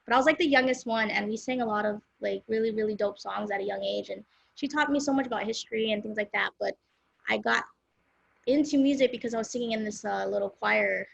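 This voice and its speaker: A young woman from Los Angeles chats as a guest, her voice heavily creaky with vocal fry. She rambles easily, full of "um" and "like", in a dry, close sound with no room around it. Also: mid-range voice, quick pace, dry recording, muffled recording. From South Central Los Angeles, USA. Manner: relaxed, rambling, with many fillers like "um" and "like". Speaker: guest singer-songwriter. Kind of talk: casual interview chat.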